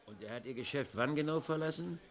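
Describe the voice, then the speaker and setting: An actor playing a methodical police officer taking down a report with halting questions in a 1970s radio crime drama. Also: male voice, medium pitch, lightly acted. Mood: methodical, attentive. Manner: halting, methodical, repeating details back.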